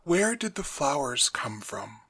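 Guy playing calm captive god dad, slow and quiet, fairly high for a man, dry close sound. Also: no echo, full character voice. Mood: quietly probing. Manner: quiet, measured, deliberate. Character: calm, weary, calculating dead father god in captivity.

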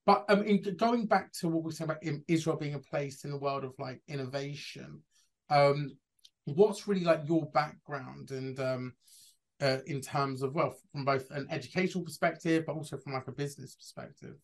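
A man hosts a podcast interview, asking long questions that stumble and restart. He often apologizes mid-thought. His voice sits a little higher than most men's. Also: normal speed. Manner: hesitant, apologetic, many restarts. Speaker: podcast host.

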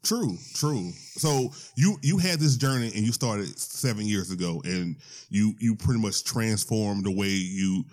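A man hosting a casual interview, halting and repeating phrases as he works toward his questions. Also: fairly low pitch, darkish tone. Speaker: interviewer, host.

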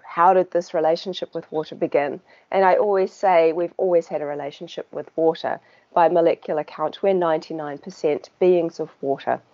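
A woman with a New Zealand accent and a fairly low voice talks easily with an interviewer, warm in tone and unhurried, with filler words along the way. The recording is dull and lo-fi. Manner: relaxed and chatty, with small laughs and filler words. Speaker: guest. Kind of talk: casual conversational interview. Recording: dull lo-fi sound.

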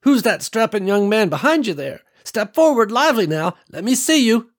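A man voicing a warm, folksy old country woman who welcomes visitors to her farm. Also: high-pitched, very animated, normal speed, voice pushed up.

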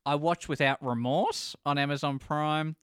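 A man's voice: a little high, steady tone, normal speed, a bit bright, clean tone, dry recording, studio quality. A man co-hosting a casual chat show, giving his reviews of films and series. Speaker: podcast co-host reviewing films and shows.

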